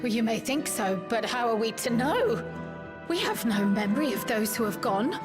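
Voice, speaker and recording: A fearful townswoman from a game cutscene voiced by a woman speaks in a somewhat high voice. She is grave, doubting and insistent, over faint music. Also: animated, moderate pace, close to an everyday voice. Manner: insistent, grave, formal phrasing. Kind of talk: scripted video game cutscene acting. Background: faint background music.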